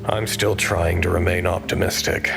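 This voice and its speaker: An actor playing an anxious, weary researcher who narrates a log in a measured, wry way for an audio drama. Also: male voice, deep voice, fairly even intonation, creaky, music in the background. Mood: weary, uneasy.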